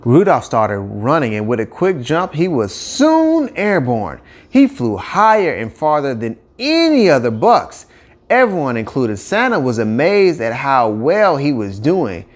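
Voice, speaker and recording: A man narrating a scripted children's story with expressive, emphatic phrasing. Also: medium pitch, unhurried.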